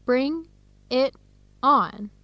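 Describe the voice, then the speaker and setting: Casting: eager young woman student, higher voice, clear simple delivery for learners, close dry radio-drama sound. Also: very slow, dry recording, dull audio. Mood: upbeat, friendly. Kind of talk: scripted educational radio drama dialogue.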